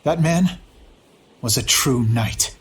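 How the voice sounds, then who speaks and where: A man voicing a solemn, courteous young knight captain in a video game, formal and measured, with music behind. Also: mid-range voice, natural voice.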